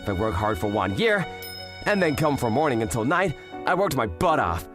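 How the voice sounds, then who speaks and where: A man's voice: medium pitch, normal speed, natural voice. A young man playing an earnest office worker in a manga dub, narrating his story and voicing his lines expressively. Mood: reflective narration. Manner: animated, expressive, swings between narration and exclamation. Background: music.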